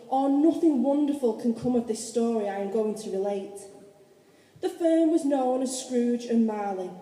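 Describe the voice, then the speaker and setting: A woman's voice: on the high side, dark tone. A woman narrating a story for a radio play in a scripted, dramatic storytelling style. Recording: echoey hall.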